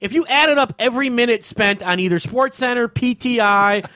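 A man's voice: very high voice, normal speed, sharp tone, dry recording, clean studio sound. An American man on a casual sports talk show, ranting in an exasperated, emphatic way and repeating himself. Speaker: podcast host.

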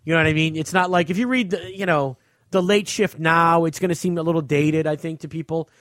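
A man with a fairly high voice talks in a dry, close recording. He chats casually like a podcast co-host, giving blunt and emphatic opinions with plenty of swearing. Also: steady tone, fairly quick, fairly bright tone, dry recording. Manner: emphatic, frequently profane. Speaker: podcast co-host reviewing a book.

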